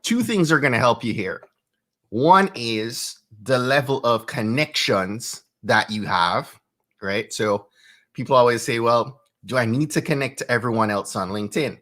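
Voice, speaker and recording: A man's voice: medium pitch, animated, a little slow, bright, forceful tone. A man in his thirties with a Jamaican accent, hosting a live stream and giving marketing tips in a conversational way, often checking in with "right?". He speaks into a studio microphone in a home studio. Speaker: host. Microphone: studio microphone with headphones.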